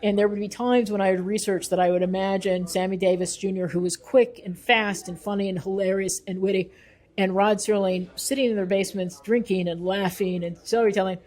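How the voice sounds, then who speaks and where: A woman's voice: mid-range voice, fairly even intonation, fairly quick, darkish tone. A woman speaking as an interview guest and researcher, earnest and emphatic, repeating phrases for weight. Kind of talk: thoughtful spoken interview.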